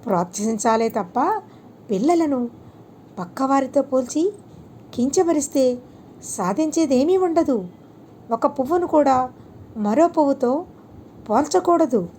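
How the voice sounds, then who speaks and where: Woman reading as a serene, mellow wise holy man. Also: sits high, slight background noise. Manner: calm, deliberate, preaching with rhetorical questions. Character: serene, wise male holy teacher, gently admonishing.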